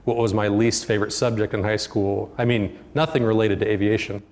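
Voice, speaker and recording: A man's voice: fairly low pitch, fairly even intonation, fairly quick, a little mellow, slight background noise. A young man answering interview questions as a recent graduate. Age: young adult. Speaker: air traffic control graduate being interviewed.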